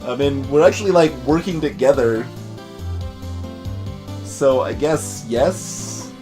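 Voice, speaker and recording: A woman's voice: rumbling low, slow, over music. A woman giving casual let's play commentary while reading a video game aloud. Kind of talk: casual playthrough commentary with voiced game dialogue.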